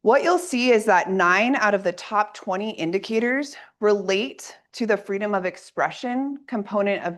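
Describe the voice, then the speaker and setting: A woman lecturing to a room of listeners, her voice slightly raspy because she is coming down with a cold. She lays out her points in an orderly, clear way. She speaks at a middling pitch for a woman. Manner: clear, organized lecturing with audience asides. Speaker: assistant professor giving a workshop talk. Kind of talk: academic presentation.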